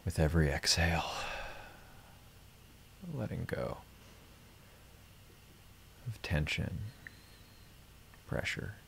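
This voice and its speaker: A man hosting a live stream, guiding meditation and chatting casually in a gentle, unhurried, reflective way. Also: sits low, lively intonation, creaky, a little hiss or hum.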